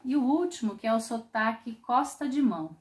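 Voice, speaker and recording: A woman from Brazil teaching a popular singing class, explaining in a thoughtful way with frequent pauses and restarts. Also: fairly high pitch, expressive. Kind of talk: online music lesson.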